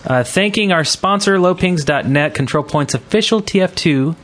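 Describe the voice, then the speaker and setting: A man hosting a podcast in a casual, halting way with frequent fillers. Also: high voice, a bit bright. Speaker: podcast host.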